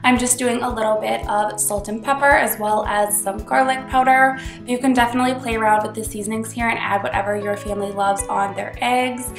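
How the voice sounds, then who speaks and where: A woman's voice: on the high side, reverberant. A young woman with a cold hosting her cooking channel, chatty and upbeat as she explains each step from her home kitchen. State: congested from a cold. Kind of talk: casual cooking tutorial voice-over.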